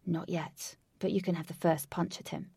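A woman's voice: fairly low pitch, flat delivery, moderate pace, vocal fry, mellow, slightly rough, dry recording, clean studio sound, full character voice. A woman voicing an earnest male martial-arts leader in a storybook, speaking firmly and instructively. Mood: restraining. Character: steady, earnest male martial-arts hero leading his friends.

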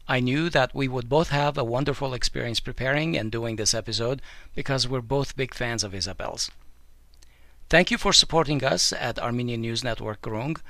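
A man's voice: medium pitch, fairly bright tone, no room sound. A man hosting a podcast, speaking directly to listeners in a sign-off narration style. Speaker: podcast host. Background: music.

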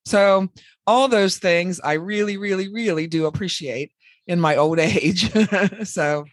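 Hoarse woman in her sixties. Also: lowish voice, measured pace, no room sound, studio quality. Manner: chatty, laughs often between phrases. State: hoarse from a cold. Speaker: podcast co-host. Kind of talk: casual podcast chat.

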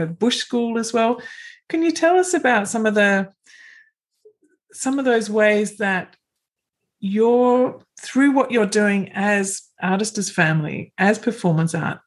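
An interviewer asking long, gentle, encouraging questions with some restarts. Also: medium pitch.

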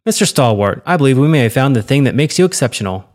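A man's voice: medium pitch, animated, fast, a little mellow, close to an everyday voice. A man voicing a cool, controlling female corporate interviewer in a read-aloud story. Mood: cool, commanding. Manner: clipped, commanding.

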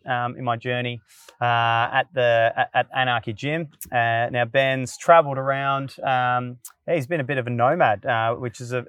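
An Australian man hosting a casual podcast interview. He speaks at a middle pitch, friendly but halting, with many ums and uhs. The sound is dry and close to the mic. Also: a bit bright, dry recording. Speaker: podcast host.

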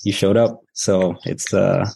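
A Canadian man talking as a podcast guest, reflective and quick to laugh. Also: low-pitched, steady tone, gravelly, breathy edge. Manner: warm, reflective, laughs easily. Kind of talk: casual interview talk.

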